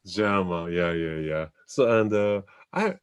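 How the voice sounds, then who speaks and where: A man from Japan, a native Japanese speaker with a Japanese accent, hosting a casual talk in English. He often pauses to search for the right English word. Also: low-pitched, clear, resonant tone. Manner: hesitant, searching for English words. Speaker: host. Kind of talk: casual chat in a second language.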